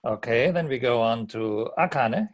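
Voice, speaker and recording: A man calmly introducing students one by one in an online seminar. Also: mid-range voice, a little slow. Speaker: professor hosting seminar. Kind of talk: moderating introductions. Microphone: video call.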